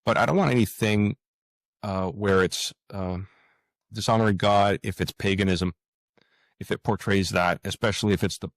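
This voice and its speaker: A man's voice: lowish voice, steady tone, normal speed, no room sound. A man speaking as a writer being interviewed, thinking aloud earnestly and often repeating words as he goes. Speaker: podcast guest, fantasy writer. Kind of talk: interview conversation, reflective.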